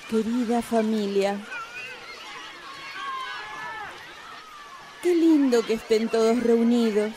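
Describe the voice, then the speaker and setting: A woman's voice: on the high side, dark tone, slight background noise. A woman playing a sly, gleeful older Argentine mother in a radio drama, with a theatrical, mock-sweet manner. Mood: wry reproach. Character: sly, gleeful older mother reading her mocking farewell letter.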